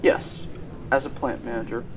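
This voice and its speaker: A man's voice: mid-range voice, little pitch movement, moderate pace, background noise, natural voice. Young man playing a defensive, troubled young company executive. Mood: guarded, evasive. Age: teenager. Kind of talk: stage-style radio drama acting.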